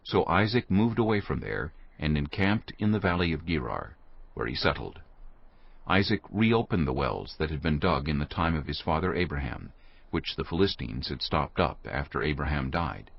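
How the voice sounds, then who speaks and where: Deep, gravelly male scripture narrator. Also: big pitch swings, normal speed, no room sound. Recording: dull recording over soft music.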